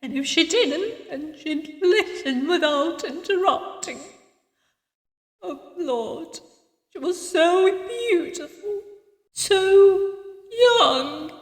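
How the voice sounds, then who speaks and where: A woman voicing a tender, grieving old woman in a visual novel, speaking slowly and haltingly with wistful sorrow. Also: high voice, lively intonation, fairly bright tone, pitched above the performer's own voice. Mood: grieving, doting. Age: older. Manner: slow, halting, sorrowful delivery. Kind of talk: visual novel character voice acting. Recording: echoey hall reverb, distant sound.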